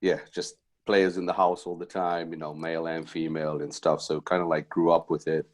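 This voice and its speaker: A man from Zimbabwe with a very low, deep voice talks through his past in a loose, rambling way. He restarts sentences and fills gaps with "um" and "you know." He is a middle-aged basketball coach chatting as a podcast guest. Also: level tone, a little fast. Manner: rambling, many fillers and restarts. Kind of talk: casual interview chat.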